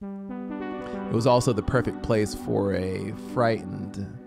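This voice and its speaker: A man in his thirties reading a story as an audiobook narrator, deliberate and theatrical, close to a studio microphone. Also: fairly low pitch, fairly even intonation, gravelly. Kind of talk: dramatic scripted story narration. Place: small home music studio, indoors. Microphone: close studio microphone with headphones. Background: music soundscape.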